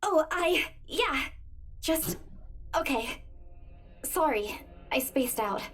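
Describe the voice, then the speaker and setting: An actress voicing an anxious, scatterbrained young woman in a horror game, animated and easily flustered. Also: high-pitched, extremely slow, exaggerated voice. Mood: sheepish, hesitant. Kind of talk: visual novel game voice acting. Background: game music.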